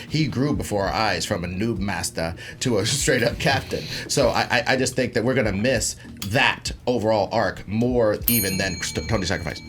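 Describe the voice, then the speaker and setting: A man's voice: sits low, a bit bright, slight background noise. A man arguing his case emphatically and persuasively as a debate contestant. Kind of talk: quick persuasive argument.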